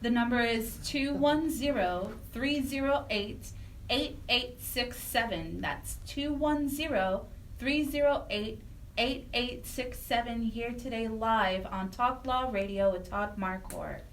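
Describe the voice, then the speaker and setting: A woman's voice: on the high side, takes it slowly, distant mic, a little hiss or hum, rough recording. A woman co-hosting live radio, announcing phone numbers and inviting listeners to call in. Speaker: radio co-host.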